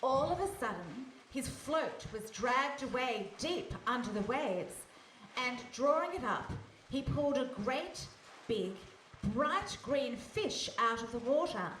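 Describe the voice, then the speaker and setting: A woman's voice: high voice, expressive, takes it slowly, fairly bright tone, exaggerated voice. A woman playing the narrator of a fairy tale, reading scripted storybook narration in a live radio play. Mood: storytelling. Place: hall stage before a live audience. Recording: echoey hall from a distance, faint noise.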